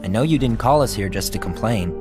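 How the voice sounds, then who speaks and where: An actor playing a blunt, skeptical comrade in an animated game film, dry and detached in manner. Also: male voice, fairly low pitch, brisk, music in the background, lightly acted. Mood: curt, skeptical.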